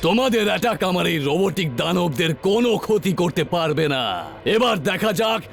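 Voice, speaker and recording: A man voicing a boastful, taunting cartoon villain who commands robotic monsters, theatrical and drawn-out. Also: high voice, gravelly, music in the background. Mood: gloating, taunting.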